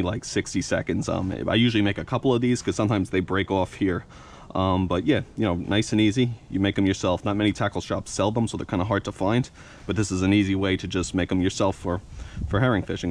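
A man's voice: sits low, fast talker, slight background noise. A young man hosting a fishing channel, casually walking through a hands-on demonstration with frequent "you know" and "uh". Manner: relaxed, fillers like "you know" and "uh". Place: outdoors at a workbench.

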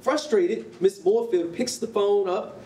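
A woman's voice: a little slow, darkish tone. A woman narrating a staged reading as scripted stage directions. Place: concrete rehearsal hall, live staged reading. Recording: roomy, distant mic, noisy rumble.

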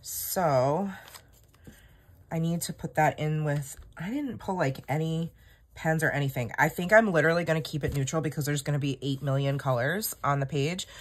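A woman's voice: low voice, normal speed. A woman in her thirties with a slightly scratchy voice, chatting casually while she plans a notebook spread at her desk in a home craft room. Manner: chatty, self-deprecating, rambling. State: scratchy voice from illness. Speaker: planner vlogger. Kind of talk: casual chat while planning. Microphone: overhead camera, hands only in frame.

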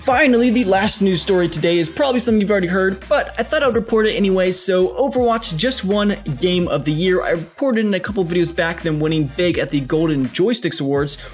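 A man's voice: high-pitched, lively intonation, quick pace, few pauses. A young man narrating scripted gaming news as a video host, casual and upbeat, stressing key words. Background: faint music.

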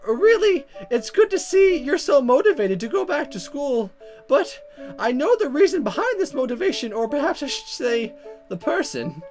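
Someone putting on a high, sweetly teasing anime mom. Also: female voice, big pitch swings, normal speed, darkish tone. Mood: gently teasing. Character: protagonist's teasing, affectionate mother in an anime visual novel. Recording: music behind the voice.

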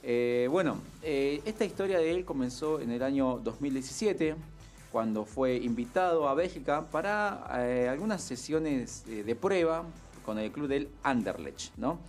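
A man's voice: mid-range voice, expressive, unhurried, darkish tone, soft background music. A man, not a native Spanish speaker, telling a football story as a sports columnist on a studio radio show. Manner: storytelling, many fillers and tag questions. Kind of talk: casual radio chat. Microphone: studio mic on boom arm, headphones.

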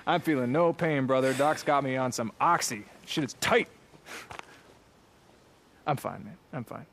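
Chatty, slangy stoned young guard. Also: male voice, mid-range voice, a little slow, creaky, a bit bright, slight background noise. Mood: loose, joking. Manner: slangy, loose, joking. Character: cocky, chatty young male militia guard, slightly stoned. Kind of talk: video game cutscene acting.

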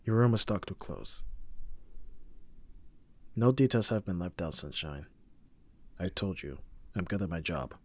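A man playing a gruff, teasing, protective young bodyguard with a husky voice in an ASMR roleplay, sardonic but reassuring. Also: low voice, lively intonation, a little slow, long pauses, a little mellow. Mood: frustrated, reassuring. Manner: sardonic, abrasive yet reassuring. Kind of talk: ASMR roleplay audio drama.

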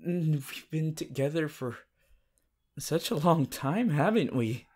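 A man playing a shy, awkward, lovestruck teenage anime boy hero in a halting, stammering romantic roleplay addressed to the listener. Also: on the high side, lively intonation, a little mellow. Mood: tender, happy. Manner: halting, stammering, flustered, giggly.

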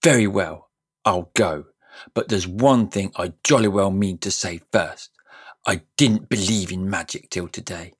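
A man's voice: low-pitched, unhurried, slightly rough. A man voicing a blunt, indignant young schoolboy in a storybook reading. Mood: defiant, indignant. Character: angry, defiant young schoolboy. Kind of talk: audiobook character voice.

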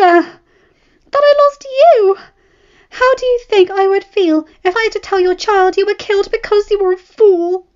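A woman voicing a pregnant young noblewoman, sharp-tongued and fierce, with a high, heated scolding manner, read as a storybook. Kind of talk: storybook reading. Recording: slightly dull lo-fi recording.

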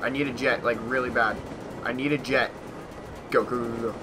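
A young American man giving casual gameplay commentary in an excitable manner, repeating short phrases. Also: medium pitch, moderate pace, music in the background. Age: young adult. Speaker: gaming YouTuber. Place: small bedroom at home.

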